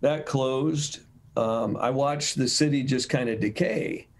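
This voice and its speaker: An older man from Michigan with an American accent, talking reflectively as an interview guest in a halting, thoughtful way over a video call. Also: mid-range voice. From United States, Michigan. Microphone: video call mic.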